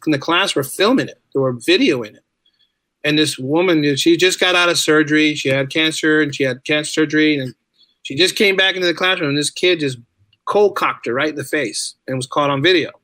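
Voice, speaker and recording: Middle aged guy on a podcast, kinda higher bright voice, chatty storytelling, repeats stuff to make a point. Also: no echo. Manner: chatty, informal, emphatic repetition. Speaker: podcast guest, teacher and writer. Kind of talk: casual conversational storytelling.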